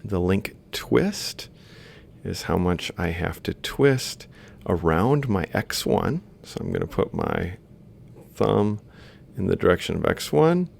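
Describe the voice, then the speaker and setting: A man's voice: low voice, vocal fry, a little mellow, slight background noise. A man lecturing informally, explaining a worked example step by step and thinking aloud as he writes. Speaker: university lecturer.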